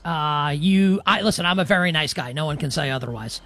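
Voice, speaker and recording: High-voiced joking male sports co-host. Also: a little fast, fairly bright tone, no room sound, faint music behind. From Greek heritage. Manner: jokey, self-deprecating, calls plays live. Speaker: sports betting show co-host. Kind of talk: casual sports betting chat with play-by-play.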